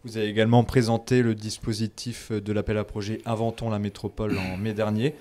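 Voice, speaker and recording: A male interviewer asks formal, prepared questions. His voice sits a little low, and the phrasing is journalistic. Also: flat delivery, a little fast, mellow.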